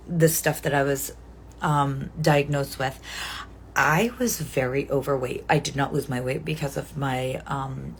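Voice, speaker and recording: A woman in her forties talks to her live viewers in a low, gravelly voice. She rambles, stops to correct herself and fills gaps with ums. A faint hiss sits under the recording. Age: 40s. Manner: chatty, rambling, self-correcting with frequent ums. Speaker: livestream host. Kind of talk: casual live chat with viewers.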